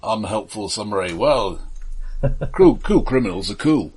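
A man co-hosting a casual film podcast with dry, ironic humour, often halting and repeating words as he goes. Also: lowish voice, animated, a little mellow, husky. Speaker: podcast co-host.

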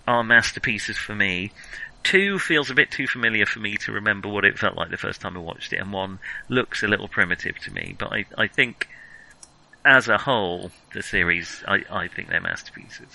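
A man's voice: mid-range voice, pushed and bright, rough recording. A man co-hosting a casual film discussion, talking in a hesitant, chuckly, conversational way. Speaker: podcast co-host.

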